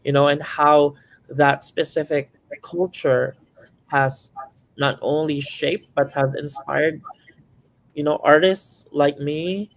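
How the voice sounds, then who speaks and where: A man's voice: a little high, fairly even intonation, takes it slowly, rough recording. A young man from the Philippines, a filmmaker speaking reflectively as an interview guest, with frequent restarts. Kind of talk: casual interview.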